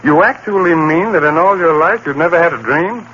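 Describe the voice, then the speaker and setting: An actor playing a jovial, disbelieving friend who teases, in 1930s radio drama style. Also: male voice, a little high, fairly quick, bright, forceful tone, breathy edge. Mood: teasing. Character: skeptical male friend, jovial and teasing. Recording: dull old radio broadcast with hiss.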